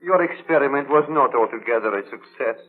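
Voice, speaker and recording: An actor playing a loyal, cautious and easily horrified friend, earnest and dramatic in 1930s radio style. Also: male voice, mid-range voice, animated, brisk, narrow, tinny audio, lightly acted. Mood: grave, admonishing. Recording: vintage 1930s radio broadcast.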